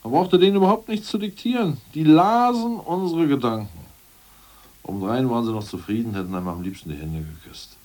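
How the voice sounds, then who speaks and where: An actor playing a scheming, self-assured ousted party official in a radio drama, brisk and mocking, who slips into bureaucratic jargon. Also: male voice, medium pitch, a little mellow, natural voice. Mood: scornful. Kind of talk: 1980s radio drama acting.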